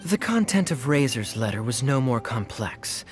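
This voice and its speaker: A suave young knight captain, voiced by a man, speaks with playful, knowing mockery. His voice moves lively up and down as he needles his companions. Faint music sits beneath the game dialogue. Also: medium pitch, moderate pace, natural voice. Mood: teasing. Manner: teasing, wry and playful. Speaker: game character.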